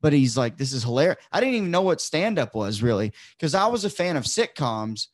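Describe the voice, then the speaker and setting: A man's voice: mid-range voice, big pitch swings, a little fast, dry recording, clean studio sound. A man in his twenties co-hosting a casual podcast, teasing and chatty, with lots of fillers. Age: 20s. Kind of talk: casual chat.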